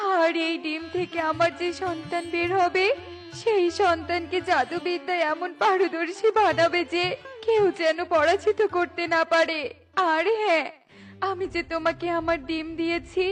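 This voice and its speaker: An anxious young mermaid mother from a cartoon tale, played by a woman. Her voice is extremely high, emotional and imploring, over clear background music. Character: pleading mermaid princess, anxious devoted mother. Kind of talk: dramatic cartoon fairy-tale dialogue.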